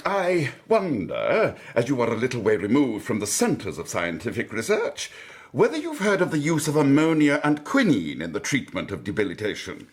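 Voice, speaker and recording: An actor playing a fat, scheming foreign count in an audio drama, florid, grandiose and smoothly condescending. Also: male voice, mid-range voice, huge pitch swings, moderate pace. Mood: smooth, patronising.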